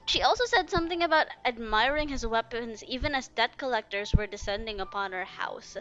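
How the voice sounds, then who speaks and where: Woman, casual playthrough commentary, high animated voice, dull lo-fi mic, gushing. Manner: excitable, gushing. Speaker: gamer reacting to a story quest. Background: game music.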